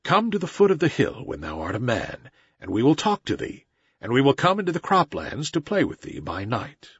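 A man voicing loyal young wolf cubs, speaking quickly with a husky voice. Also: mid-range voice, wildly swooping pitch, dry recording, heavily acted. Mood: eager. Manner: quick, eager speech. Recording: slightly dull recording.

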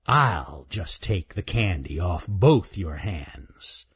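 A man's voice: low-pitched, very animated, slow, a bit bright, husky, exaggerated voice. A man voicing a mean, sarcastic male raccoon bully who snarls his threats in a children's story. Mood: menacing, sarcastic.

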